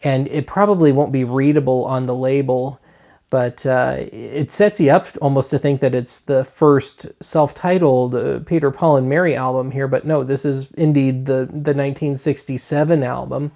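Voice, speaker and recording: A man's voice: medium pitch, fairly even intonation, moderate pace, gravelly, mellow. A man hosting a retro media web show, talking casually in a chatty, rambling way with wry asides.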